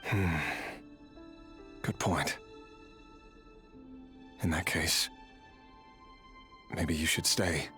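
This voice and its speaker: A man playing a brooding, weary soldier in a video game, speaking in a grave, measured way. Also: low voice, steady tone, bright, forceful tone, heavily acted. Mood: grim, guarded. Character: brooding, weary young male soldier torn between duty and mercy. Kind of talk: dramatic video game acting. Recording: game music behind.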